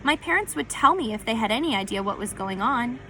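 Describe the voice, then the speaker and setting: A woman's voice: on the high side, expressive, a little fast, sharp tone, soft background music. A woman voicing a spirited, wry teenage girl in a storybook reading. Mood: earnest.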